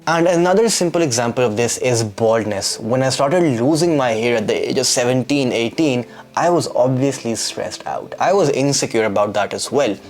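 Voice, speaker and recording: A man's voice: mid-range voice, animated, moderate pace, hardly any pauses. A man, talking earnestly and reflectively in a scripted vlog, asking rhetorical questions. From Pakistan. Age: 20s. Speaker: YouTube creator.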